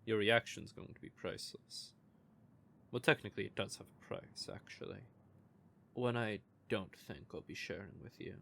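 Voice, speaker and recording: A man's voice: lowish voice, normal speed, pushed and bright, a little hiss or hum. A man playing a bitter, sardonic male vampire who curses and mocks. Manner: cursing, mocking, gravelly. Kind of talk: audio drama roleplay.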